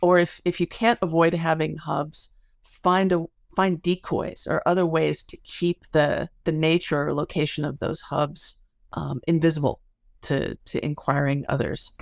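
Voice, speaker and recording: A woman's voice: sits low, flat delivery, a little slow, creaky, dry recording, clean studio sound. A woman speaking as an expert interview guest, explaining things in an articulate, analytical way. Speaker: interview guest, sociology researcher.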